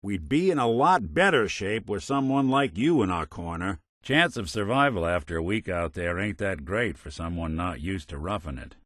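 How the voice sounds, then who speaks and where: Casting: hardboiled synthetic private eye for a game, middle-aged, lowish pitch, sardonic and weary, very dry close recording. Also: male voice, expressive, normal speed, few pauses, a bit bright, no echo. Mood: wry, concerned. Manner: wry, dry, world-weary. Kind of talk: video game voice acting, noir detective quips.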